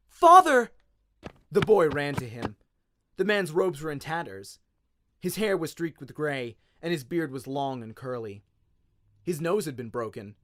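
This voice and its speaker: A man's voice: mid-range voice, no room sound, clean studio sound. A man narrating a story in a dramatic, scripted audiobook style.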